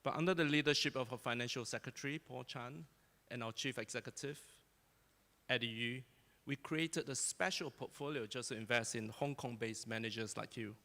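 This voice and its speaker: A man moderates an on-stage panel with a gravelly, fry-edged voice. His questions are introduced with light jokes, and the hall adds a long reverb behind him. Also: medium pitch, normal speed, sharp tone. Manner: joking, scripted introductions. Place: conference hall.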